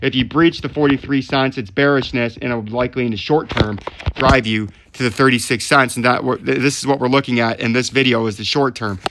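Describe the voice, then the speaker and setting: A man's voice: mid-range voice, fairly even intonation, a little fast, noisy background. A man hosting a market analysis channel, talking through charts step by step and often checking in with the listener. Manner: explanatory, often checks in with "right?". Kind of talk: live technical chart commentary.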